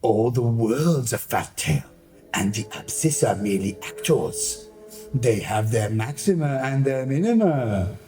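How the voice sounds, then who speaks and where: Man acting a grandiose, slow-talking villainous marquis. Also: medium pitch, lively intonation, dark tone, faint music behind, lightly acted. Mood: declamatory. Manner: grandiose, declamatory, mock-Shakespearean. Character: scheming theatrical villain, a male marquis narrator. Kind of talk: radio play acting.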